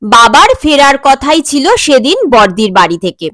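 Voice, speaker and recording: A woman's voice: sits high, big pitch swings, normal speed, sharp tone, no room sound, studio quality. A woman reading a scripted comic family story as its narrator.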